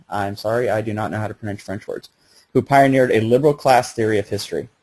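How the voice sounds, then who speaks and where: A man hosts an online talk, reading the speaker's introduction at a brisk clip. He trips over the foreign names as he goes. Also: mid-range voice, a little mellow, rough recording. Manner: reads the introduction, stumbles on names. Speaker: host.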